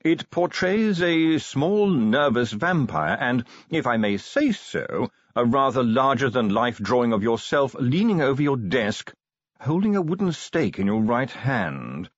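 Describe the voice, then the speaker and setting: A man voicing a tactful, unflappable butler in an audiobook, formal, measured and cautiously polite. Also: mid-range voice, big pitch swings, dry recording, muffled recording, pitched above the performer's own voice. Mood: formal, apologetic. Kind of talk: audiobook character voice.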